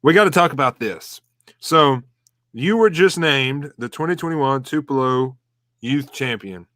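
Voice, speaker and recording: A man's voice: mid-range voice, expressive, unhurried, fairly bright tone, no echo, studio quality. A man hosting a casual interview, friendly and encouraging, asking open questions. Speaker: host.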